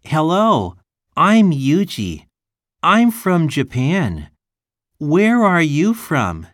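Woman playing a schoolboy, low voice, extremely slow, deliberate, dry recording. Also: huge pitch swings, no room sound, heavily acted. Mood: friendly. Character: friendly young schoolboy from Japan. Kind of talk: slow, carefully enunciated test dialogue.

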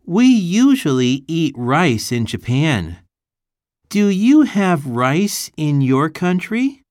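A woman voicing a friendly young schoolboy from Japan, in a slow, carefully enunciated listening-test dialogue. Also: low voice, very animated, darkish tone, no room sound, clean studio sound, full character voice.

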